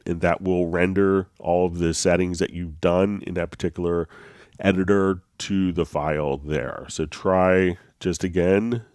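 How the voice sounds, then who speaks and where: A man hosting a live software tutorial stream, sounding a little congested from a slight cold, talking in an easygoing way with frequent fillers and restarts. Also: low-pitched, no echo, clean studio sound. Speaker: host.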